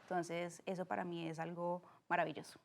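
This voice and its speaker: A woman in her twenties with a Colombian accent from Cali, speaking as a podcast guest in a warm, earnest way with frequent "eh" fillers. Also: lowish voice, level tone, brisk, gravelly. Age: 20s. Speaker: guest, art director. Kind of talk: casual interview.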